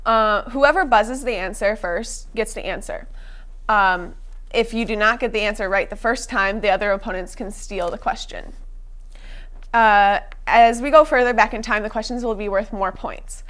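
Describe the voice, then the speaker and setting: A woman's voice: mid-range voice, moderate pace, fairly bright tone. A young woman hosting a college studio quiz show, upbeat and a little unpolished, with fillers and restarts. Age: young adult. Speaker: game show host.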